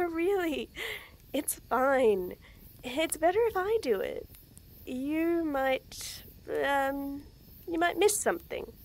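A young woman with a slightly high voice talks slowly and gently to the listener in a scripted roleplay. She stammers nervously, giggles often and slips into soft whispers. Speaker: voice actress in a girlfriend audio.

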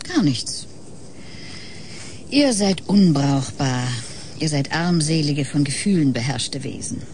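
Low-voiced, otherworldly, detached woman. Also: animated, slow pace, a little mellow, a little hiss or hum. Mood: cold, resolute. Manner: solemn, enigmatic, drifting into breathy whispers. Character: detached, otherworldly woman who scorns human emotion. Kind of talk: German science-fiction radio drama acting.

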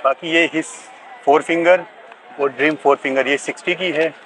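A man's voice: fairly high pitch, fairly even intonation, normal speed, fairly bright tone, poor audio. Pakistani stall seller, man, amid market bustle. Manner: salesmanlike, listing items. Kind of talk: product presentation with prices. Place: outdoor covered bazaar stall. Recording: busy outdoor market crowd noise.

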